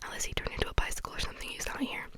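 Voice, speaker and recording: A reader voicing a sarcastic, sharp-tongued teenage girl in a whispered audiobook reading. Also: very fast, natural voice. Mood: snarky. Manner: whispered throughout.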